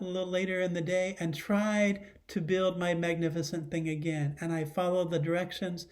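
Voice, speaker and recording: A man's voice: high voice, a little mellow. A middle-aged man giving a children's lesson and read-aloud, speaking in simple phrases and often addressing questions to young viewers. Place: living room at home, indoors.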